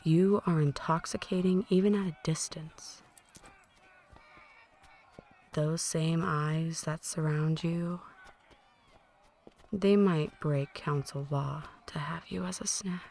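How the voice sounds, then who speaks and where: A woman playing a possessive, menacing vampire mistress in an intimate roleplay, teasing and manipulative, often slipping into breathy whispers. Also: low voice, slow, dark tone, full character voice. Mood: taunting, menacing. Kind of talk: intimate ASMR roleplay monologue.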